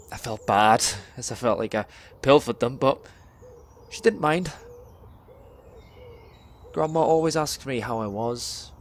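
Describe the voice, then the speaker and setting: A young man performs a dramatic spoken word monologue in a measured, deliberate storytelling way, pausing between phrases. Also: mid-range voice, slight background noise. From England. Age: young adult.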